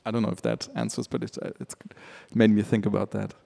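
Gravelly low-voiced man, thoughtful. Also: big pitch swings, brisk, warm tone. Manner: thoughtful, hesitant, many fillers. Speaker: artist. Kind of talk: reflective panel discussion.

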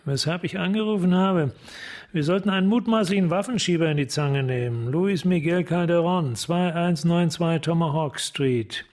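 An actor playing a hard, threatening police sergeant in a German radio crime drama, speaking curtly and deliberately. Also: male voice, a little high, a little mellow, close to an everyday voice. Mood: businesslike. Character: hard, menacing male police sergeant leaning on informants.

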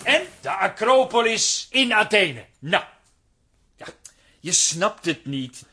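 High, husky, excitable hustler. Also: male voice, slow, exaggerated voice. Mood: eager, pitching. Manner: eager, pushy, stammering sales patter. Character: fast-talking, excitable small-time photo studio salesman. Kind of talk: radio comedy drama acting.

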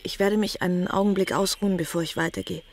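A woman acting a genteel young lady of the manor in a radio play, polite and measured, with quiet insistence. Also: fairly low pitch, steady tone, noisy background, close to an everyday voice. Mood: hesitant, tired.

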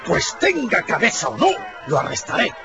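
An actor playing a stern, excitable village policeman barking short orders in a radio drama. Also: high-pitched, moderate pace, gravelly, dull audio, over a noisy crowd, heavily acted. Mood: commanding.

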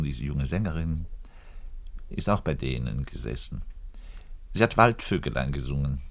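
A man playing a lonely, bitter, self-pitying middle-aged double bass player in a grandiloquent theatrical radio monologue. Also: full character voice. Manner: grandiloquent, swinging between outbursts and muttering. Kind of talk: theatrical monologue addressing the listener.

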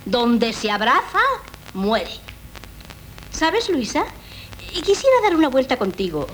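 An actress playing a bossy, theatrical middle-aged aunt from in a radio comedy. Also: female voice, fairly high pitch, sing-song, unhurried. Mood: grand, gushing. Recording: noisy 1970s radio broadcast.

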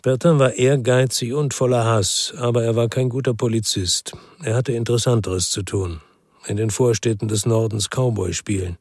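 A man playing a brooding former police detective who narrates a crime radio drama in a sober, terse, reflective way with a husky voice. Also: mid-range voice, fairly even intonation, moderate pace, darkish tone, dry recording, studio quality, natural voice.

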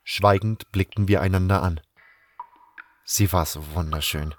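A man's voice: low voice, steady tone, a little slow, slight background noise. A man playing an earnest, brooding adventurer who tells his own story in a fan audio drama. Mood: wistful. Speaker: narrator and lead actor in a fan audio drama. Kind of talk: first-person dramatic narration and dialogue.

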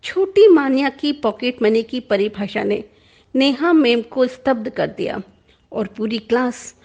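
A woman reading a short story aloud as an expressive narrator. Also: on the high side, measured pace. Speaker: story reader. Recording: dull lo-fi recording.

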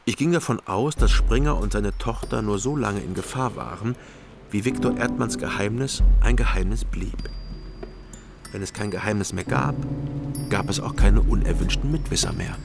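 Low, gravelly brooding crime-story narrator. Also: male voice, moderate pace, over loud music. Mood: sombre, restrained. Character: troubled, sombre East German ex-policeman narrating his story. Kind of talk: first-person radio drama narration.

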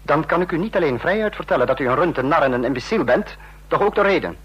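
An actor playing a sarcastic, domineering dictator in a radio drama, husky-voiced and biting as he scolds his subordinates. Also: a little high, lively intonation, fast talker, muffled recording. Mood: scornful anger.

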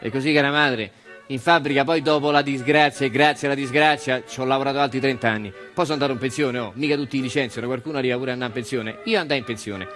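Male actor as retired Roman factory worker, brisk stage storyteller, colloquial refrains, faint music behind. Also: mid-range voice, a bit bright, natural voice. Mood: nostalgic. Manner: colloquial, repetitive refrains addressed to his mother. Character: retired Italian factory worker recounting his life to mother. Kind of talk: theatrical monologue storytelling.

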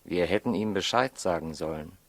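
Deep-voiced, courteous Eastern sage. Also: male voice, vocal fry, dry recording. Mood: courteous, reassuring. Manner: measured, reassuring, authoritative. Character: calm, courteous leader of travelling Eastern astronomers. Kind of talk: 1960s German radio drama acting.

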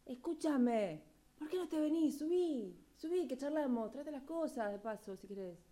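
A woman acts out a young Rioplatense party girl in a radio play, scheming and sweet-talking her neighbor. Her voice sits high, her talk slangy and coaxing, full of stalling and pleading. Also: animated, normal speed, mellow. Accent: Rioplatense Spanish. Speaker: radio drama actor. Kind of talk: amateur radio drama acting.

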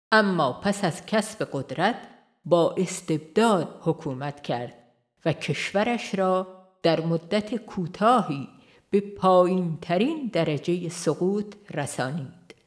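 A woman reading an audiobook aloud in a calm, measured way, pausing gently between phrases. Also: fairly low pitch. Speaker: audiobook narrator. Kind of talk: scripted audiobook narration. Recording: faint music behind the voice. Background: soft background music.

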